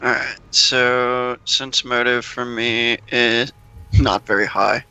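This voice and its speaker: A man playing a tabletop game, talking casually in a halting, hesitant way. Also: mid-range voice, steady tone, pushed and bright, clean tone, muffled recording. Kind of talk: casual chat during a game.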